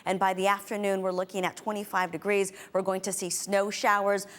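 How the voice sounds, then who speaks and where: A woman's voice: medium pitch, flat delivery, brisk, fairly bright tone. A woman presenting a television weather forecast, talking through maps and conditions.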